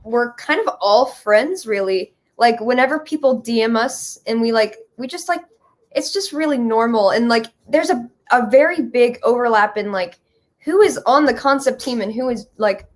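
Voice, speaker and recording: A young woman chatting casually as an interview guest, informal and halting. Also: on the high side. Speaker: interview guest, creative team member. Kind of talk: casual chat.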